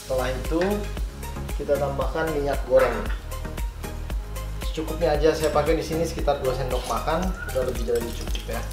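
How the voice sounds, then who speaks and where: A man in his thirties walks through a recipe in a casual, talkative way, asking himself why and then answering. His voice rings off a roomy home kitchen, with clear music playing under him. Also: mid-range voice, steady tone, a little fast, long pauses. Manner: chatty, explains each step with questions he answers himself. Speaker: professional chef and dad cooking at home. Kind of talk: casual cooking demonstration. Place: bright home kitchen, indoors. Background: background music.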